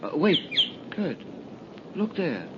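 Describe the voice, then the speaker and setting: Muffled noisy old radio, anxious superstitious man, high voice, hesitant, melodramatic. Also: huge pitch swings, slow, full character voice. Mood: uneasy, eerie. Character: nervous, superstitious man, easily frightened brother in haunted mansion. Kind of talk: 1930s old time radio horror acting.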